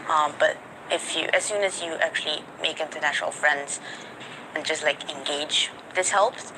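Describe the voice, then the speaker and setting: A young woman from Switzerland, a student, answering interview questions in a relaxed, thoughtful way with pauses. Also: low-pitched, noisy background.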